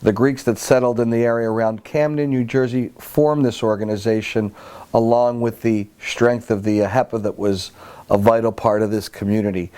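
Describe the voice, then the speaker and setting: A male interviewer with a low, mellow voice introduces his guest and asks questions in a measured, friendly way, with a few small restarts. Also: smooth. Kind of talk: oral history interview. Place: guest's home.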